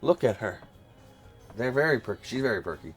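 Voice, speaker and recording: A man with a lively, swinging delivery hosts a video game playthrough. He reads dialogue aloud and comments, with soft game music behind him. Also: medium pitch, a little fast, slightly rough. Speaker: let's play host.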